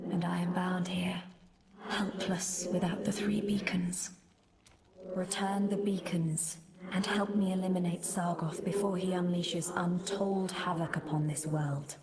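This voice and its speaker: An actress playing an ancient, solemn guardian spirit in a fantasy game, stately and breathy. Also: female voice, fairly low pitch, level tone, a crowd in the background. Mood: solemn, foreboding. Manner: stately, measured.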